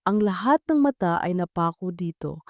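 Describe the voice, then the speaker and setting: A woman's voice: on the low side, lively intonation, normal speed, no echo. A woman with a Filipino accent reading scripted dramatic narration as a storyteller.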